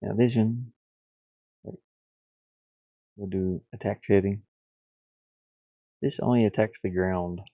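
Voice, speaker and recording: Man, low slow creaky voice, halting absent-minded muttering, casual livestream. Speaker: livestreamer, hobby game developer. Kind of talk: casual livestream, thinking aloud while working. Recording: boxy echo, thin phone-like sound.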